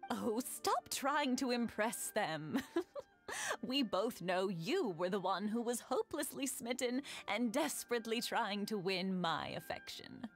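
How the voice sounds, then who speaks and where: An actress playing a sweet elderly wife in a video game, gentle and musing, playfully chiding. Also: female voice, on the high side, expressive, measured pace, faint music behind. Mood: teasing. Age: older. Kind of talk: video game character acting.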